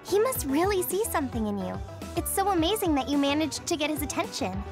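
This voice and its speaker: An actress playing a bright, eager young girl in an anime game, bubbly and emotive. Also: high voice, very animated, a little fast, over music. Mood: admiring. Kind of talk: anime video game dub acting.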